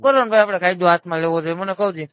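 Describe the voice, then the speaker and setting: A man's voice: high-pitched, very fast, over a noisy crowd. A man in a heated phone conversation, explaining himself insistently and repeating his points. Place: recorded phone call.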